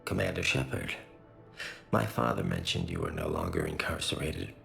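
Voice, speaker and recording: An actor playing a grieving young alien son, reverent, formal and measured, with a very deep, gravelly voice. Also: male voice, huge pitch swings, a bit bright, soft background music, exaggerated voice. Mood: grieving, hesitant. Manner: measured, solemn, polite.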